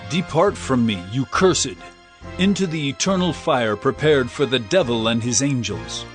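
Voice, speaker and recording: A man's voice: mid-range voice, lively intonation, normal speed, soft background music, close to an everyday voice. Man as majestic judging king, sweeping intonation. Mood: stern condemnation. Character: majestic divine king judging the blessed and cursed. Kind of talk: dramatized scripture reading.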